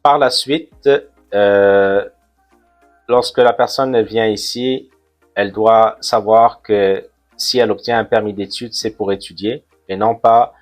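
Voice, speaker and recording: A man from Morocco in his forties, an immigration consultant answering interview questions in a measured way, often pausing with fillers. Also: lowish voice, steady tone, faint music behind. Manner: measured, many hesitations with "euh".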